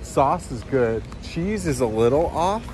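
A man's voice: medium pitch, very animated, unhurried, noisy background. A man in his twenties chatting casually to viewers outdoors on a handheld camera, in a laid-back, folksy way. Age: 20s. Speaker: travel vlogger. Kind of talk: casual vlog talk. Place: outdoors, beach and town street. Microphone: handheld or body-worn action camera. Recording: loud music behind the voice.

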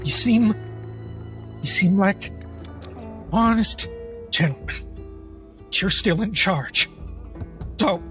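A man's voice: high voice, slow, long pauses, a bit bright, soft background music. Man playing an angry, menacing, foul-mouthed doctor. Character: angry, menacing male doctor demanding answers.

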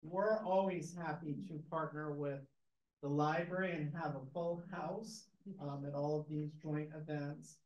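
An older man, president of a preservation trust, giving relaxed welcome remarks with many fillers to an audience in a library meeting room, recorded from a camera in the audience. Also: on the high side, a little slow. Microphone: far camera in the audience. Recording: echoey room, dull lo-fi sound.

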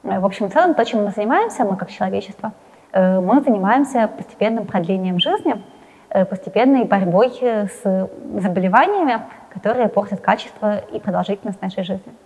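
A young adult woman answering interview questions while seated in a studio, talking quickly with frequent hesitant fillers. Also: medium pitch, animated, a little mellow, breathy edge, in a large hall, low-quality recording. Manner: hesitant fillers, fast and talkative. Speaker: interviewee, science journalist. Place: indoor studio with plain wall, seated in armchair.

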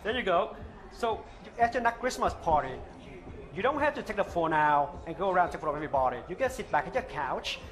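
A man in his thirties with a thick Vietnamese accent, earnestly pitching a product live on stage on a headset mic. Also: expressive, a bit bright. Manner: earnest, halting non-native phrasing. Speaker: startup founder pitching. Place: open-air stage at a trade show.

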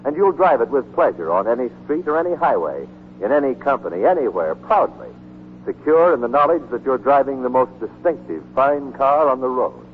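A male announcer delivers a vintage 1940s radio commercial in a polished, grand manner. His pitch swings widely for emphasis. The broadcast is narrow and tinny, with faint noise. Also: animated, fairly quick. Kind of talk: 1940s radio advertising read.

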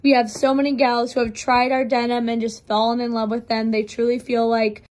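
A young woman with a slightly raspy voice from losing it, chatting bubbly to viewers in a try-on vlog, filmed on a phone in a mirror in a bright living room. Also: a little high, little pitch movement, brisk. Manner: bubbly and chatty, addressing viewers as you guys. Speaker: clothing brand try-on host. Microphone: phone held up for a mirror selfie.

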